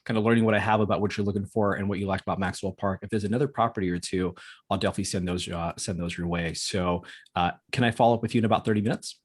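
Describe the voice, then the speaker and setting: A low-pitched man talks quickly through a practice real estate sales call. His voice has a rough, husky edge. He is polite and agreeable, repeating details back, and occasionally stammers over a word. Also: steady tone. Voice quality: occasional stammer, gets hung up on words. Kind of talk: role-played phone sales call.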